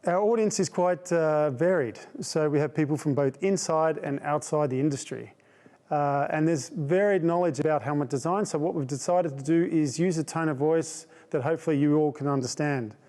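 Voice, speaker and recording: A man's voice: a little high, moderate pace, darkish tone. A man with an Australian accent presenting as a webinar host, conversational, informal and self-effacing. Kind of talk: conversational presenting to an online audience.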